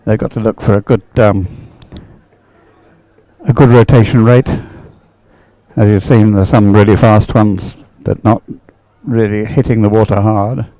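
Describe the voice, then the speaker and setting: A man giving live sports race commentary in a measured, hesitant, observational manner. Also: lowish voice, fairly even intonation, warm tone, dry recording, low-quality recording.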